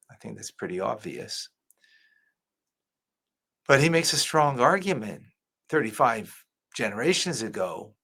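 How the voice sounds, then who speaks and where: A man's voice: medium pitch, gravelly, pushed and bright, slightly rough. A man, a rabbi, giving earnest religious commentary as he reacts to a clip. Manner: earnest, preacher-like teaching.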